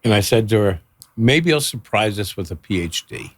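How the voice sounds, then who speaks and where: An older man chats and reminisces with a dry, teasing humor, breaking into laughter. His pitch swings up and down a lot, and the recording is close and dry with no room sound. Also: animated, normal speed, no echo. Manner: wry, teasing, laughs often. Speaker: guest, host's father. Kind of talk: casual chat.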